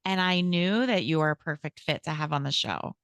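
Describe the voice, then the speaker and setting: A woman's voice: low voice, fairly quick, a bit bright, smooth, no echo, studio quality. A woman hosting a podcast interview, upbeat and encouraging, with spiritual turns of phrase. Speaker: podcast host.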